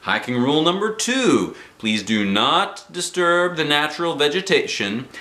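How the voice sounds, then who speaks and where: A man's voice: a little high, very animated, measured pace, pushed and bright, reverberant, pitched above the performer's own voice, exaggerated voice. A man voicing an earnest male tour guide in a storybook, polite and announcing. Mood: instructive.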